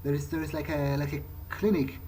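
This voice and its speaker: A man's voice: on the high side, steady tone, brisk, a little hiss or hum. A man commenting in character during a video game playthrough, musing aloud in a hesitant way. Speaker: gamer playing in character. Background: loud game music.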